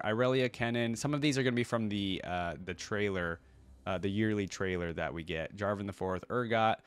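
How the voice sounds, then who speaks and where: A man's voice: fairly low pitch, clear, resonant tone. A man hosting a reaction video, commenting casually and thinking aloud to his viewers, sometimes reading bits out. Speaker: host of game reaction videos.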